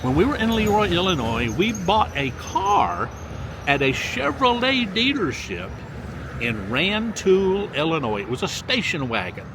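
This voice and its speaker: Older male vlogger, lively sing-song delivery, casual shout-outs outdoors with faint background noise. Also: high voice. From Mississippi. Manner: drawn-out, sing-song reading of place names with folksy asides. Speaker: vlogger reading viewer locations. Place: outdoors by a lakefront.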